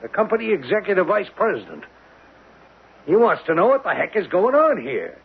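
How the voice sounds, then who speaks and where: An American actor in a 1970s radio drama, playing a blustering, sarcastic, exasperated oil drilling boss with a gravelly, rough-edged voice. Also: male voice, high voice, big pitch swings, normal speed, full character voice. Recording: tinny narrowband 1970s radio recording.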